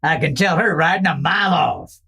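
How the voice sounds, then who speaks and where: A man playing a gruff, seasoned outlaw henchman in a Western radio play, raspy and bossy, with an American Western drawl. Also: a little high, lively intonation, brisk, bright, forceful tone, full character voice. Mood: admiring, amused. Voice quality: gravelly, raspy.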